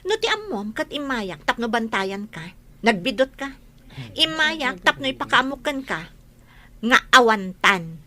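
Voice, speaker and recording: Woman playing a sharp, high-voiced scornful wife. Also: very animated, measured pace, exaggerated voice. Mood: scornful, taunting. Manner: taunting, sharp. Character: scornful unfaithful wife, cold and cutting. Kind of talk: radio drama acting.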